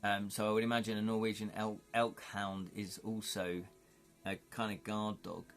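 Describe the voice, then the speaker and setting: A man's voice: on the low side, flat delivery, normal speed, faint music behind. A man hosting a live stream, chatting casually and thinking aloud as he rambles with lots of "you know". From United Kingdom. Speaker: livestream host. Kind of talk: casual chat with viewers.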